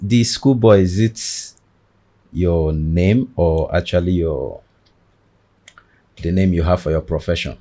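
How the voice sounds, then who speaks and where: Nigerian man with a very low, deep voice, who hosts a podcast from a studio. He speaks in a formal, measured presenter style, welcoming his guest and addressing the viewers. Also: warm tone. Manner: formal, deliberate presenter delivery. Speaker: podcast host. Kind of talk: podcast interview.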